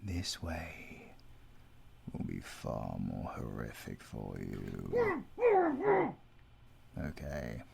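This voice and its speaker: A British man acting a menacing, coldly courteous villain interrogator in an audio roleplay, speaking formally and deliberately, at times dropping into a whisper. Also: extremely low pitch, sing-song, creaky, a little mellow, slight background noise, full character voice. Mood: cold threat.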